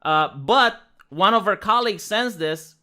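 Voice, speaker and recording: A man's voice: high voice, animated, a little slow, sharp tone. A man with a Romanian accent giving an earnest, emphatic commentary monologue, often restarting words and saying "like". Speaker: online commentator.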